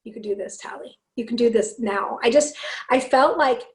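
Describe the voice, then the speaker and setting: Woman, from Canada, raspy, animated storyteller, high pitch, roomy echo. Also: normal speed. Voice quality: raspy, deep speaking voice. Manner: animated, acts out quotes, laughs often. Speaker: podcast guest, singer and speaker. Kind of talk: casual storytelling chat.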